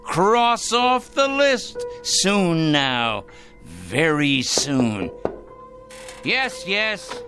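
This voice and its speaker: An actor playing a fanatical, self-righteous crank in a radio drama, fussy and excitable, repeating himself emphatically. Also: male voice, a little high, drawn-out words, over music, heavily acted. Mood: gleeful muttering. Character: fanatical, self-righteous male crank obsessed with punishing evil.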